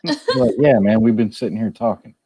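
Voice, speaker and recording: Male, American, very low register, informal anecdotal chat, dry recording. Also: brisk, mellow, husky, no echo.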